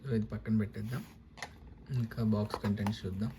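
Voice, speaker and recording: A man's voice: on the low side, steady tone, fairly quick. A man gives a casual, explanatory step-by-step unboxing and installation demonstration, mixing in English terms. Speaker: motovlogger presenting a product unboxing. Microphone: lavalier. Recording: clearly noisy lavalier recording with ambience.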